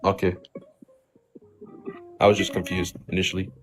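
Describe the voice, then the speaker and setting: A man debating casually, speaking haltingly and padding his phrases heavily with filler words. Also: deep voice, monotone, soft background music. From Somali background. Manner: halting, heavy use of "like" and "you know". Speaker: debate participant.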